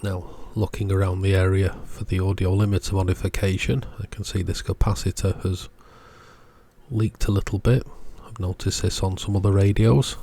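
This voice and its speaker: A man hosts a repair walkthrough. He explains each step in a measured, matter-of-fact manner, as someone narrating hands-on technical work. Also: low-pitched, level tone, warm tone. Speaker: host of an electronics repair channel.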